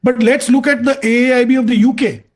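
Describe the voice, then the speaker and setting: An Indian-accented man comments as an expert on a news panel. His voice is strikingly high for a man, and he argues each point with emphasis. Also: steady tone, quick pace. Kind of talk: TV debate commentary.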